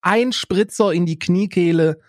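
A man's voice: sits high, unhurried, no echo. Boastful man in his thirties, dry close mic. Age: 30s. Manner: boastful, mock-serious, playful. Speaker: podcast co-host. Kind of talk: joking casual chat.

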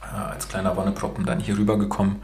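A man's voice: lowish voice, monotone, quick pace, a little mellow, echoey room. A man from Romania, raised bilingual in Germany, with a rolled R, talking frankly and casually as a podcast host, swearing now and then and using many fillers. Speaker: podcast host being interviewed. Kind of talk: casual motivational talk.

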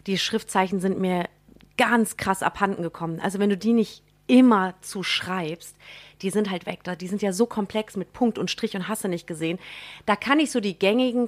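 Bubbly young German woman guest. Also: medium pitch. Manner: bubbly and enthusiastic, laughs often, slangy. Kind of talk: casual interview chat. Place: radio studio in a theme park.

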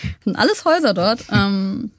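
A young German woman answering interview questions in a considered, measured way. She often pauses and restarts as she works out her thoughts. Her voice sits at a middle pitch and the recording is dry and close. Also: expressive, no echo. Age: young adult. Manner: thoughtful, measured, frequent hesitations. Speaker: interview guest. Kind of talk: reflective interview talk.

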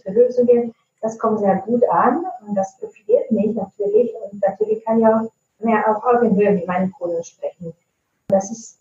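A woman's voice: medium pitch, mellow. A woman from Italy, an Italian native speaker, answering interview questions as a translator and trainer, thoughtful with frequent hesitation fillers. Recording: roomy, distant, dull lo-fi sound.

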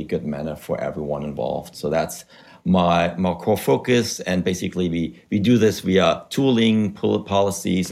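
Podcast guest, man in his thirties, German accent, low voice, measured explainer. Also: vocal fry, a little mellow. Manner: measured, explanatory, uses you know. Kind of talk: podcast interview.

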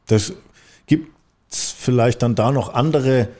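A man's voice: medium pitch, takes it slowly, warm tone. A man hosting a podcast, asking long, searching questions with fillers in a casual chat. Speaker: podcast host.

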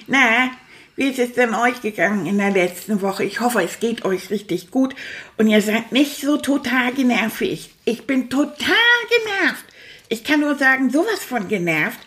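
A woman's voice: a little high, normal speed. Manfred, a chatty toy rat voiced by a woman. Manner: excitable, impatient, chatters on, stretches words. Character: boastful chatty male toy rat, childlike. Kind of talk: children's audio show monologue.

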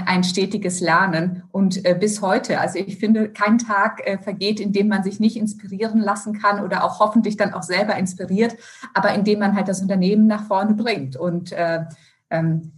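Brazilian woman speaking German thoughtfully. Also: mid-range voice. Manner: thoughtful, many fillers and restarts, mixes in English words. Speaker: guest, finance executive. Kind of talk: interview answers.

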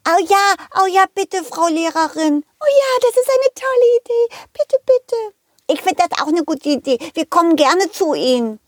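A woman voicing eager little vegetable schoolchildren in a children's audio play, squeaky and pleading. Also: sing-song, moderate pace, no room sound, clean studio sound.

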